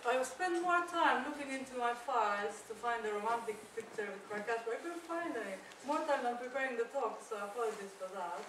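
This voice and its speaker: A woman's voice: fairly high pitch, animated, fairly quick, hardly any pauses. A woman whose first language is Persian gives a chatty, self-deprecating conference talk with many fillers and restarts. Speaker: academic speaker. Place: lecture room. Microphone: far camera. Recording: echoey lecture room from far off, noisy.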